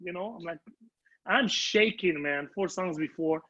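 A man with a notably high, rough-edged husky voice, a native Arabic speaker. He tells anecdotes from his singing career with emphasis, repeating phrases for effect and putting himself down. The sound is somewhat muffled and lo-fi. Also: fairly quick. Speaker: band leader and singer recounting his career. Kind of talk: animated anecdotal storytelling in conversation.

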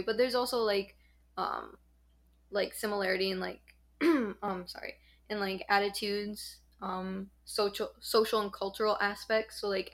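A young woman in casual podcast chat, walking through study findings she has read. She talks at a middle pitch and fills her sentences with ums and likes as she explains. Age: young adult. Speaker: podcast host.

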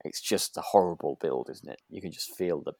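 A man's voice: low voice, brisk, creaky, dark tone, no echo, clean studio sound. A British man co-hosting a podcast, chatting casually and ramblingly, often rephrasing as he goes. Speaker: podcast co-host. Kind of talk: casual chat about a radio drama.

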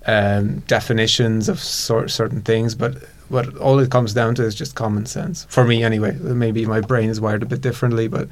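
A man's voice: on the low side, flat delivery, moderate pace, few pauses. A man with a Hungarian accent, a guest expert on a casual podcast, thoughtful and laughing easily as he explains.

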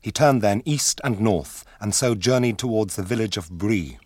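An actor playing a sober storyteller, narrating a radio drama in measured tones with a British accent. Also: male voice, lowish voice, lively intonation, a little mellow, breathy edge, dry recording, clean studio sound. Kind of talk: scripted narration.

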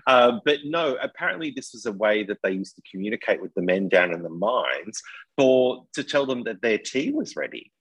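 A man with an Australian accent, talking easily in a relaxed guest chat. His voice sits low and has a husky grain, and his pitch swings widely as he jokes at his own expense. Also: moderate pace, low-quality recording. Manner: joking, self-mocking, chatty. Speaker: podcast guest. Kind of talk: casual chat.

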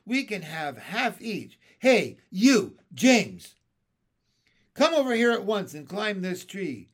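A man's voice: high voice, sing-song, a little slow, a bit bright, heavily acted. A man voicing a fat, greedy old aunt with a pompous, fussy manner. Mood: scheming.

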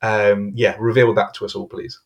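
A man hosting an interview, with a somewhat low voice. He asks questions in courteous, formal phrasing and sometimes repeats words as he goes. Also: level tone, normal speed, creaky, muffled recording. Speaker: host. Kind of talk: podcast interview.

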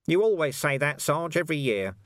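A man's voice: fairly high pitch, very animated, fairly quick, fairly bright tone, no room sound, studio quality, pitched above the performer's own voice. A man voicing a scruffy, persistent, plain-spoken watchman in an audiobook. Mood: matter-of-fact. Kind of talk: audiobook character voice.

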